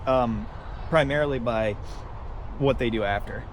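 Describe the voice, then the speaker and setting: A male dog trainer talking a client through a lesson in a relaxed way, often checking in with "right?". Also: medium pitch, unhurried. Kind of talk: casual coaching talk. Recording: noisy outdoor street recording.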